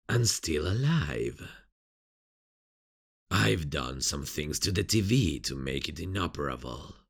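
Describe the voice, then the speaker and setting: A man playing a menacing, gloating criminal villain in an ASMR roleplay, with a taunting, theatrical, drawn-out delivery. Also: extremely low pitch, creaky, exaggerated voice. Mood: taunting, amused.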